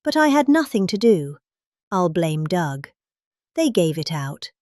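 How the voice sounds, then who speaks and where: Middle-aged woman, British accent, very animated intonation, mellow tone, dry close sound, calm dramatic reading. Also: fairly low pitch, dry recording. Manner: expressive, calmly measured delivery. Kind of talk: dramatic reading of a rambling political speech.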